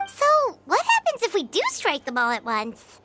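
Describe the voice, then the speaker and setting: A tiny floating game creature with a very high, squeaky voice that leaps wildly up and down. It chimes in eagerly and curiously, and music plays clearly behind it. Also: female voice, wildly swooping pitch, moderate pace, exaggerated voice. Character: small chirpy floating companion creature. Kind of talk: video game voice acting. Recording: game audio under music.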